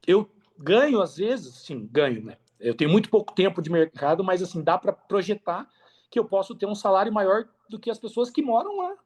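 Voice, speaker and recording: A man's voice: high voice, sing-song, normal speed, darkish tone. A Brazilian man speaking casually and earnestly as a podcast guest, repeating phrases for emphasis. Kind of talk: casual interview talk.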